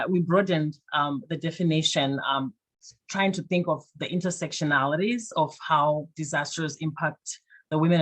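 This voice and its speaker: A woman, a panelist from a nonprofit, presenting conversationally with frequent fillers. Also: deep voice. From Zimbabwe. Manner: many fillers and restarts.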